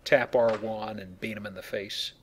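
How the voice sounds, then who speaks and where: A man's voice: on the low side, fairly even intonation, moderate pace, slight background noise. A man giving casual, joking commentary on a video game as he plays and explains it. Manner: joking, colloquial. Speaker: video game let's player.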